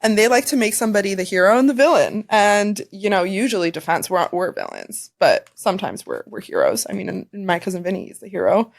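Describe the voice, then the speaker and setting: A woman co-hosting a podcast in casual chat, agreeable and quick to laugh. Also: medium pitch, sing-song, vocal fry. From Florida. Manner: chatty, agreeable, laughs easily. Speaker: defense attorney co-host.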